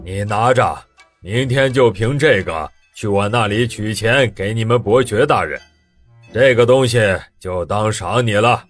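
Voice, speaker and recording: A man's voice: lowish voice, a little slow. A man playing a boastful, greedy, hearty fat nobleman in an audio drama, with a blustering drawl. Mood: jovial. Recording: music behind the voice.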